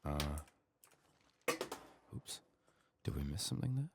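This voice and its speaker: A man giving soft-spoken, partly whispered ASMR commentary on a game he is playing, murmuring short remarks to himself. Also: on the low side, sing-song, a little slow, vocal fry. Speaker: game streamer. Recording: keyboard and mouse clicking noise. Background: computer keyboard typing and clicks.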